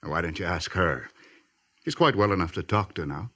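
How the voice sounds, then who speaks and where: An actor playing a wry, teasing army doctor with a folksy manner in a 1950s radio drama. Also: male voice, low voice, expressive, moderate pace, fairly bright tone.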